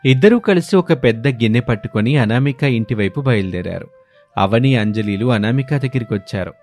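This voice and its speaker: A man's voice: mid-range voice, expressive, fairly quick, a little mellow. Man narrating a moral tale over music. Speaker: storyteller narrator. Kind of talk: scripted moral story narration.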